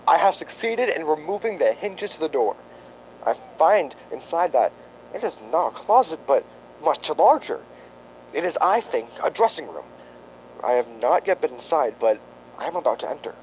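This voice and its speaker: A man playing a methodical doctor in a spooky radio drama, reporting in measured, precise, formal phrases. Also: a little high, very animated, a little mellow, slight background noise, low-quality recording. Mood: measured, intent. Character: methodical, formal doctor investigating a haunted house.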